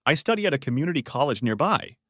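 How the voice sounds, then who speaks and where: A man teaching beginner English, reading short model sentences clearly and saying each one twice. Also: on the high side, very animated, fairly bright tone, dry recording, clean studio sound. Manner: says each phrase twice, clearly and deliberately.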